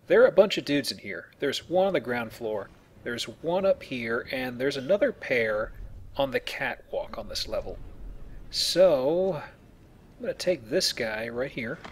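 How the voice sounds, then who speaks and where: A man's voice: mid-range voice, lively intonation, a little slow, slightly rough. A man giving casual, joking commentary on a video game as he plays and explains it. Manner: joking, colloquial. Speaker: video game let's player.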